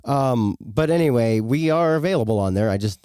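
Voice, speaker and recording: An American man in his thirties chatting casually as a podcast co-host, rambling in a relaxed, slangy way. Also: mid-range voice, fairly quick, clear, resonant tone, dry recording, studio quality. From western United States. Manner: laid-back, rambling, slangy. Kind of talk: casual chat.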